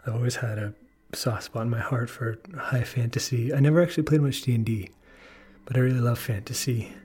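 A man presenting a podcast episode as a soothing bedtime introduction, with soft, lingering, hushed phrasing. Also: mid-range voice, steady tone, a little fast, husky. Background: music under the voice.

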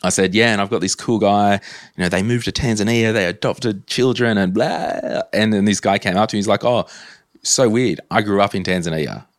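A man's voice: fairly low pitch, a little fast, no echo, clean studio sound. An Australian man hosting a podcast, chatting casually and thinking aloud in a rambling, tentative way. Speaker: podcast host. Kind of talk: casual interview chat.

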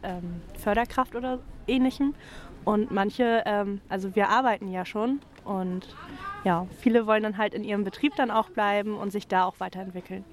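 A young woman training as a childcare worker, answering hesitantly with many fillers. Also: medium pitch. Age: young adult.

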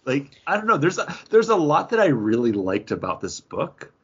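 Gravelly, husky man with lively intonation. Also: on the high side, normal speed. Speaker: podcast co-host. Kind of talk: casual comic book review chat. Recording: dull lo-fi podcast audio.

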